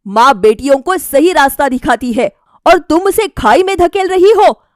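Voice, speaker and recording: A woman voicing an indignant middle-aged father in a cartoon moral story, forceful and reproachful. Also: high voice, animated, normal speed, no room sound, studio quality.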